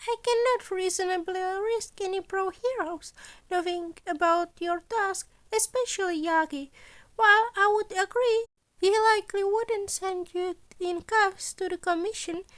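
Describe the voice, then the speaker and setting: A woman voicing a small male rodent-like school principal from a story, formal and measured, a polite but exacting schemer. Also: extremely high pitch, pitched above the performer's own voice. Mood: stern, emphatic. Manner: formal, measured, emphatic phrasing.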